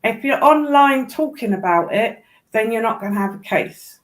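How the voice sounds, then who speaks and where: A woman's voice: on the high side, animated, moderate pace. A woman with a British accent chatting casually in a blunt, sweary, emphatic way. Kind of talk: casual chat.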